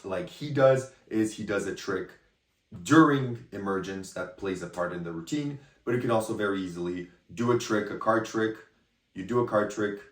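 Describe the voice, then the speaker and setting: A man with a hoarse, partly lost voice, giving a chatty, rambling review of a magic trick and often checking in with the viewer. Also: fairly low pitch, moderate pace. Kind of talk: casual product review to camera. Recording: echoey room, a bit far from the mic.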